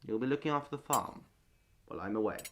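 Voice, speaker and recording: A man's voice: mid-range voice, fast, a bit bright, natural voice. An actor playing a stern, authoritative father of a farming family in a wartime audio drama, speaking curtly. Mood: firm. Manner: authoritative, curt.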